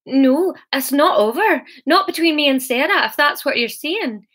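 A woman's voice: high voice, expressive, normal speed, fairly bright tone, pitched above the performer's own voice. A woman voicing a heartbroken man in an audiobook, pained and defensive as he pleads. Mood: defiant.

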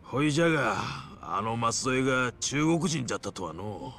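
A rough yakuza man with a thick Hiroshima accent for a game cutscene. His voice crackles with fry and jumps around in pitch. He is blunt and easily flustered, with music playing faintly underneath. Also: very animated, moderate pace, fairly bright tone. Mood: exasperated. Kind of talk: video game drama acting.

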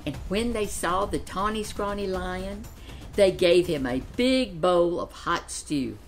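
An older woman in her seventies reading a children's picture book aloud in an expressive storytelling style. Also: on the low side, takes it slowly, soft background music. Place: furnished living room, seated in an armchair.

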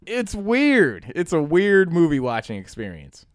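Podcast regular: man with an animated, crisp voice, dry and close, talking casually. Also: on the high side, unhurried, dry recording. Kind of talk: casual group chat. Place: small room at home, around a table. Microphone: close podcast microphone.

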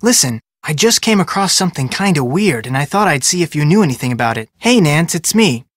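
Breezy young American detective, higher-pitched. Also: male voice, big pitch swings, brisk, fairly bright tone, no echo, studio quality. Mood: casual, curious. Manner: casual, folksy, chatty. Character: friendly, breezy young male amateur detective. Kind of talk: video game dialogue.

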